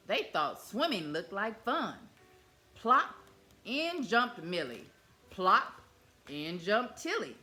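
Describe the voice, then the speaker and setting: A woman reading a children's picture book aloud in an expressive, animated way. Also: medium pitch. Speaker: storyteller.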